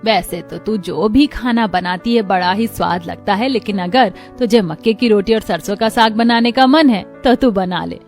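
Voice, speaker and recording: A gentle older mother from a cartoon story, as a woman puts her on, soft and caring. Her voice stays quiet and kind. Faint music plays underneath. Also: medium pitch, moderate pace, few pauses, lightly acted.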